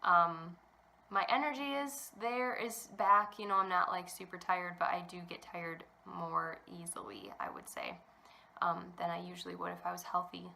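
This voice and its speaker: A woman's voice: fairly low pitch, lively intonation, moderate pace, gravelly, pushed and bright, rough recording. A young woman hosting a knitting podcast from home, chatting in a rambling way with lots of ums while still a little congested from a cold. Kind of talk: casual chat to camera. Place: at home indoors.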